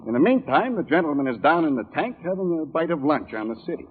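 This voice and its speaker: An actor playing an offhand city police lieutenant in a 1940s radio drama. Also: male voice, fairly high pitch, very animated, quick pace, slight background noise. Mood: offhand, matter-of-fact. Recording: tinny, narrow old radio recording.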